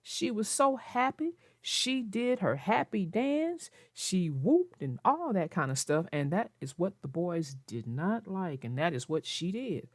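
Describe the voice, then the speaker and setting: A woman narrating a children's book aloud and commenting on it, with expressive, emphatic, deliberate phrasing. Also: fairly low pitch, no echo, studio quality. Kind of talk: expressive storybook read-aloud with informal commentary.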